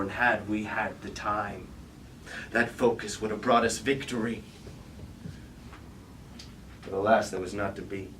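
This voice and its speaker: A man's voice: lowish voice, bright, forceful tone. An actor playing a proud, wrathful deposed robot warlord king, with grand, commanding, archaic delivery in a staged reading of a tragedy. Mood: commanding fury, then grim, burning. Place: indoor staged reading at a university. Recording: roomy, distant stage recording with light noise.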